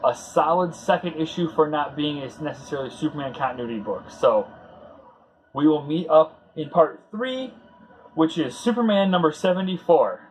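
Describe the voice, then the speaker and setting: A man's voice: fairly high pitch, animated, moderate pace, low-quality recording. A man hosting a comic book read-along, giving casual commentary in a rambling, conversational, fan-like way.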